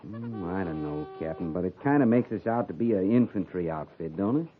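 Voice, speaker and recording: Folksy cavalry sergeant, tinny old radio. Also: male voice, deep voice, animated, fairly quick, faint music behind. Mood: grumbling. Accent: American. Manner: folksy, deferential. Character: loyal, plain-spoken, grumbling old-army cavalry sergeant. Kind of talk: 1950s radio drama acting. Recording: noisy, tinny 1950s radio recording.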